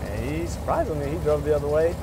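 A young man talking casually to viewers from inside his pickup truck while he drives, relaxed and slangy, calling them fellas. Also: a little high, quick pace, background noise. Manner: laid-back slangy chatter, addresses viewers as fellas. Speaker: car vlogger. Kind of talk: casual ride-along talk to camera. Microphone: mic clipped inside the cab.

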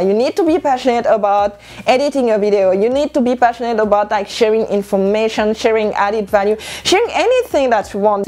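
A young woman whose first language is French, talking casually to camera in a room and gesturing as she speaks. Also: fairly high pitch. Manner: animated, gesturing with hands while standing. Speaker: vlogger. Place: indoor room with clothing rack. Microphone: lapel mic.

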